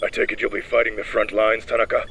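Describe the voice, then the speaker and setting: A man's voice: on the low side, completely flat delivery, fast, bright, forceful tone, a little hiss or hum. A man playing a stern, authoritative older space fleet commander in an anime dub. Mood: stern, dry.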